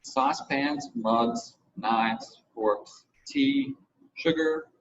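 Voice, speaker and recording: A man's voice: mid-range voice, very slow, voice pushed down. A man voicing a smart, practical girl, a businesslike first mate in a storybook. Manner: crisp, businesslike. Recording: muffled home recording with hiss.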